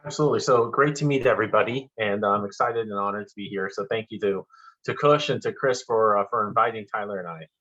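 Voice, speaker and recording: A man's voice: fairly low pitch, fast, a bit bright. A man working as a venture capital investor, introducing himself in an upbeat, polished way on a video call. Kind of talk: casual webinar introduction. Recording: dull, muffled call audio.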